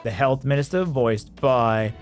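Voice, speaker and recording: A man's voice: medium pitch, a little slow. A man narrating a scripted, joking video game review with wry, exclamatory asides. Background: background music.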